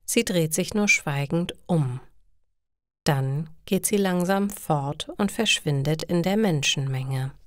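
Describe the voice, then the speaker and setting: A woman narrating a scripted story for language learners in deliberate, clearly separated sentences. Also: deep voice, dry recording, studio quality.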